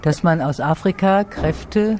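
A man's voice: a little high, slow, darkish tone, a little hiss or hum. A man narrating a short documentary report and interviewing a guest with short encouraging prompts.

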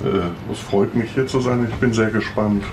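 A man in his sixties, a philosophy professor, answering interview questions calmly and matter-of-factly. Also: lowish voice, normal speed, background noise. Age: 60s.

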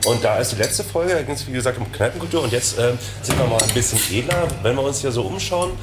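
A man's voice: mid-range voice, lively intonation, fast, over loud music. A middle-aged man hosting a casual, chatty interview show. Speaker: show host. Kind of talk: casual bar interview and chat. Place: inside a bar. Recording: loud clattering bar noise.

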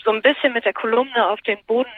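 A woman's voice: fairly high pitch, fairly even intonation, fast talker. Woman on a tinny phone line. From Japan until age seven, then Germany. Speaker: journalist and columnist interviewed as guest. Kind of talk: conversational interview answers. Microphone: telephone or call connection.

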